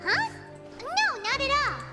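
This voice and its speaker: A woman voicing a tiny, cheerful, childlike girl companion in a video game, squeaky and perky. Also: wildly swooping pitch, slow, exaggerated voice. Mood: sheepish. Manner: perky, talks of herself in third person. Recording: game music playing behind.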